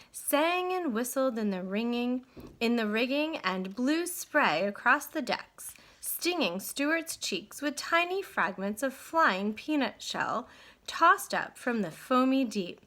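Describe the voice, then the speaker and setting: A woman teacher reading a storybook aloud to children in an expressive, guiding way. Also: on the high side. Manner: addresses children directly, guiding them with questions. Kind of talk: read-aloud lesson, expressive narration.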